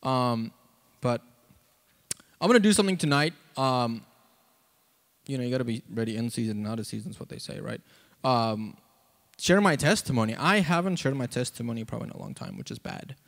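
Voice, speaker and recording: A man gives an informal sermon and testimony into a handheld mic, halting and conversational, with lots of "like" and "you know.". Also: mid-range voice, very animated, vocal fry. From South Africa. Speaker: preacher. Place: church auditorium, on stage before seated congregation. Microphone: handheld microphone.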